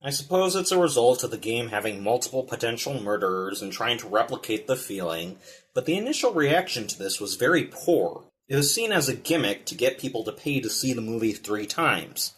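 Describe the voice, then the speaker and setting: A man reads a scripted film review with a very lively, expressive pitch range. His mid-pitched voice carries the narration as the host of a video essay. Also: a little fast, a little hiss or hum.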